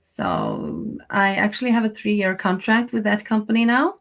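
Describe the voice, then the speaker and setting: A woman's voice: mid-range voice, huge pitch swings, gravelly. A Norwegian woman, a freelance translator, speaking as a guest on a video call, hesitant with many fillers. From Norway. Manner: slow, hesitant, many "uh"s, laughs easily. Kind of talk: interview answers.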